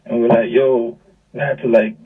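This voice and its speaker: A young man with a South African accent tells stories in loose, slangy talk. His voice is husky, and it comes through thin and phone-like, a bit far from the mic, as on a video call. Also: mid-range voice, moderate pace. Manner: slangy, animated retelling with quoted dialogue. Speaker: music artist, interview guest. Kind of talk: casual storytelling chat.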